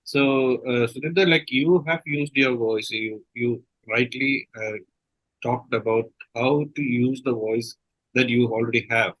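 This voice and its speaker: A male interviewer with an Indian accent leads the discussion. He speaks haltingly at a medium pitch and rephrases his questions. The sound is dry, with no room echo. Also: a bit bright. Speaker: interviewer, moderator. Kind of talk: question-and-answer moderating.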